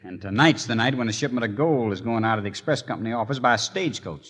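Scheming outlaw, sly drawl, reverby old radio sound. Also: male voice, mid-range voice, expressive, fast talker, a bit bright, slightly rough. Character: scheming outlaw Joe, cool-headed plotter. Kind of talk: 1950s radio drama acting.

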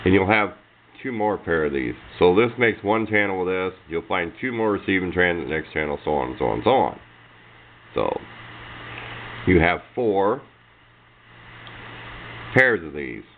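A man giving a casual, hands-on explanation as a hobbyist, rambling along in folksy, informal speech on noisy, muffled amateur camera audio. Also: sits low. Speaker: hobbyist presenter of radio demonstration.

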